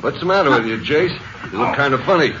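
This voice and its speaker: A man's voice: medium pitch, expressive, fast, close to an everyday voice. An actor playing a concerned, plain-talking lawman's sidekick with folksy manner in old radio drama. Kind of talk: 1950s radio acting. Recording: very noisy, muffled vintage radio recording.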